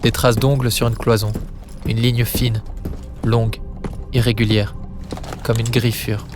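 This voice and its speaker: A man narrates dark audio drama with a solemn delivery and little melodic movement. His phrases are short and heavy with suspense, and he speaks in a studio over a clear music bed. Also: mid-range voice, flat delivery, measured pace.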